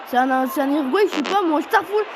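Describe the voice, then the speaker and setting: A young man from Portugal commentating his own football quiz game, excitable and prone to shouting and swearing at opponents. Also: very high voice, quick pace, noisy background. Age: teens. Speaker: gaming youtuber.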